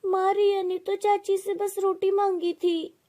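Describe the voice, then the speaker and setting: A woman's voice: very high voice, little pitch movement, unhurried, mellow, pitched above the performer's own voice. A woman voicing a small, innocent, tearful girl in a storybook tale, whimpering and pleading.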